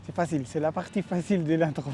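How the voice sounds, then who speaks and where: A man from southern Italy being interviewed casually outdoors, listing things with pauses. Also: a little high, fairly even intonation, warm tone, faint music behind. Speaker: guest.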